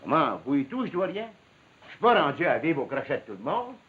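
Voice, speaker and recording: A man's voice: on the high side, big pitch swings, brisk. An actor playing a proud, prickly rural father in a 1950s television drama, blunt and rustic, with a Quebec French accent. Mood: indignant. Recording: muffled, faintly noisy 1950s television soundtrack.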